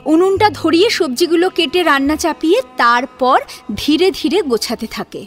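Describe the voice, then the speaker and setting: A woman narrating a scripted story in an expressive, dramatic storytelling style. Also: sits high, normal speed, soft background music.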